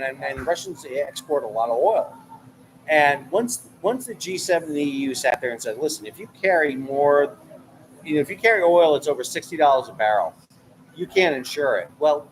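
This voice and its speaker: A man's voice: a little high, a little hiss or hum, low-quality recording. A man from New York, a military analyst who hosts a channel, talking casually and with opinions in a bar, often repeating words while he thinks. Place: bar, ambient noise.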